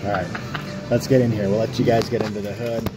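A man's voice: fairly low pitch, flat delivery, quick pace, over loud music, poor audio. Chatty guy reviewing pub food. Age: 30s. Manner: upbeat, chatty, rambling with fillers. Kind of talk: casual food review vlog. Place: booth in a pub restaurant. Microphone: phone held at arm's length.

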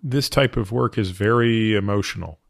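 Male podcast host, very low voice, deliberate questions, dry close sound. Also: gravelly, no echo. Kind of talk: interview questions.

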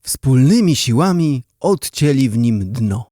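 A man's voice: mid-range voice, big pitch swings, takes it slowly, warm tone, no room sound, clean studio sound. A man narrating a scripted story for children, as a storyteller.

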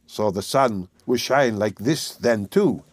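A man's voice: on the low side, takes it slowly, no echo. A man voicing a poor, tense young man who talks in a terse, guarded, brooding way.

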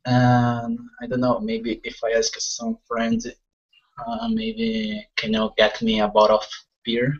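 A man's voice: mid-range voice, very animated, slow pace, gravelly, breathy edge, soft background music. A man from Brazil with a Brazilian accent, casually answering as an English learner. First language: Portuguese. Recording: dull lo-fi video call.

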